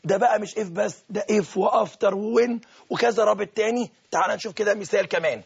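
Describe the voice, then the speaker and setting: A man with an Egyptian Arabic accent, teaching English grammar step by step. He translates each phrase and often clears his throat. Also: high voice, a little fast, dull audio. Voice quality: husky, gravelly voice with frequent throat-clearing. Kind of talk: classroom grammar explanation.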